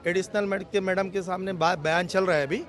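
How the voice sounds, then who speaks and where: High-pitched, emphatic male activist spokesman. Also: fairly even intonation, brisk. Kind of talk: on-the-spot news interview statement. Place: outdoors near a police station. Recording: outdoor street with crowd murmur.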